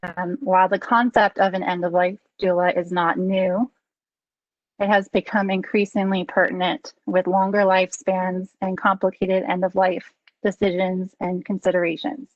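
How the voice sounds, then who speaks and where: A woman moderating a webinar panel over a video call, introducing guests with frequent ums and pauses. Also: fairly low pitch, little pitch movement, no room sound, dull audio. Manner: hesitant, many ums. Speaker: program director, panel moderator.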